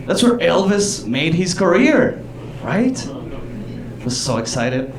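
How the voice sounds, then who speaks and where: A man from Chile performs live stand-up comedy, talking conversationally with pauses and bursts of exclamation. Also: high voice, big pitch swings, a little hiss or hum. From southern Chile. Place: small comedy theater stage, indoors. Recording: roomy live club sound, distant, audience. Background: audience laughter.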